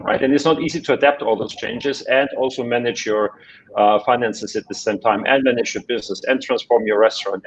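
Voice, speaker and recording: A man with a German accent on an online panel, talking in halting phrases full of restarts and tag questions. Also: medium pitch, creaky, husky, muffled recording. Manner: hesitant, many restarts and "right?" tags. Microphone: video call.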